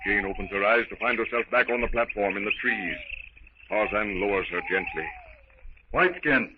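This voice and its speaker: A low-voiced man narrates an old radio adventure serial with theatrical, deliberate drama. The recording is dull and clearly noisy, in 1930s fashion. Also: steady tone.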